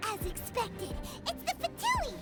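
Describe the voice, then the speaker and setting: An actress voicing a tiny childlike fairy companion in a video game, squeaky, timid and hesitant. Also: female voice, wildly swooping pitch, measured pace, full character voice. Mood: startled, flustered. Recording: game dialogue over loud music.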